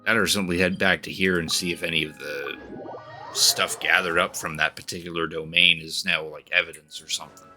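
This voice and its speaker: A man's voice: low-pitched, steady tone, a little fast, pushed and bright. A man giving casual live-stream commentary while playing a video game. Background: faint game music.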